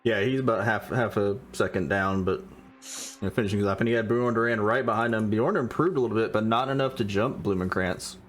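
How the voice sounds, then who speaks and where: A man's voice: lowish voice, a little hiss or hum. Laid-back man commentating sim races. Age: 30s. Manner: laid-back banter, quickens when calling lap times. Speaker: race commentator. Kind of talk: casual live race commentary.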